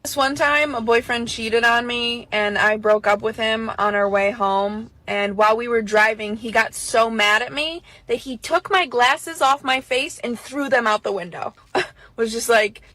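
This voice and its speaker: A woman's voice: a little high, unhurried, pushed and bright, no echo, rough recording. A woman telling a personal story in a casual, amused way. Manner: laughs through her story.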